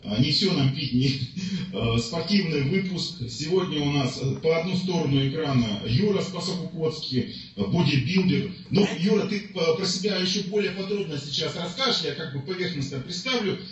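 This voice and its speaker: A man hosts a casual livestream, halting often with filler sounds as he introduces his guests. His voice is a little high. It comes from far off in a very echoey room and sounds dull and muffled. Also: lively intonation. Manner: hesitant, many "э" fillers, slow introductions. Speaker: stream host.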